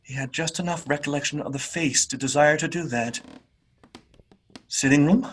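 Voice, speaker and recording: A man narrating a radio play as a dramatic storyteller, with theatrical, expressive and deliberate phrasing. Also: on the high side.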